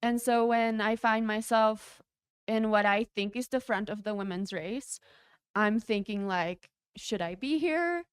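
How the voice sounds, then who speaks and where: Race winner, woman from the Czech Republic, mid-pitched, hesitant and laughing. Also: clean tone, no room sound, clean studio sound. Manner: giggly, hesitant, many fillers. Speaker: race champion guest. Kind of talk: interview answers. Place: race broadcast studio.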